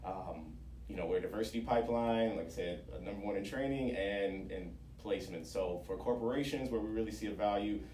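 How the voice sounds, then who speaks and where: Echoey, low-voiced man in an interview. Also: a little fast, warm tone. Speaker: interview guest, founder of a job-training organisation. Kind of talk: radio interview, explaining programmes. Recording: roomy, distant mic, faint noise.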